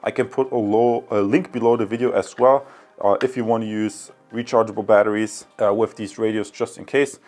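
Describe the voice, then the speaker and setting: A man's voice: lowish voice, level tone, darkish tone, soft background music. A man presenting a product review in casual talk to viewers, often hesitating and correcting himself. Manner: halting, with frequent hesitations and self-corrections.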